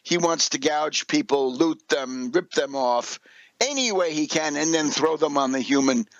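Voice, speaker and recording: Guy ranting politics on his radio show, sorta high voice, super dry sound, sarcastic and emphatic. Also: measured pace, dry recording, dull audio. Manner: scornful, sardonic; emphatic, repeats points with rhetorical questions. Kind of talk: unscripted political commentary monologue.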